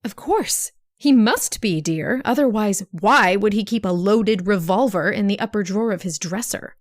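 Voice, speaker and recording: A woman acting a sly, genteel, scheming sister in a radio drama, speaking in a deliberate, confiding way. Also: mid-range voice, no room sound, lightly acted. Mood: sly, controlled. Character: sly, scheming sister feigning frail worry.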